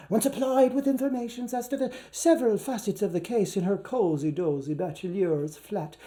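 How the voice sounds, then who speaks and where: An Irish man gives an animated, playful literary reading as an actor before a live audience, shifting between characters. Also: high voice. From Ireland. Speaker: actor, reader.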